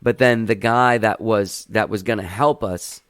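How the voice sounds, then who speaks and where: Low smooth guy hosting a podcast, chatty and rambly, dry close mic. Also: steady tone, measured pace, fairly bright tone, dry recording. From Cuban family. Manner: loose, chatty, trails off with filler words. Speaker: podcast host. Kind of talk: casual podcast chat.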